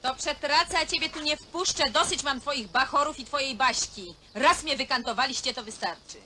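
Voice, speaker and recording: An actress playing a hard, coquettish middle-aged widow, blunt and taunting, in naturalistic film drama. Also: female voice, high-pitched, moderate pace, pushed and bright. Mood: defiant. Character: hard, coquettish widowed woman craving a younger man. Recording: faintly noisy old film soundtrack.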